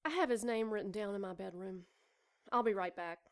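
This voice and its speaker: A woman's voice: mid-range voice, brisk, close to an everyday voice. An actress playing a self-absorbed expectant mother in a noir audio drama, coy, defensive and sly. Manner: coy, mock-proper, defensive.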